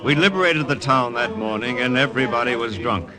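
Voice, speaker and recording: A man's voice: medium pitch, brisk, crowd noise, close to an everyday voice. An American actor playing a sober, principled wartime secret agent who recounts his mission in 1950s radio drama style. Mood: grave, reflective. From United States. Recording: old-time radio recording with music behind.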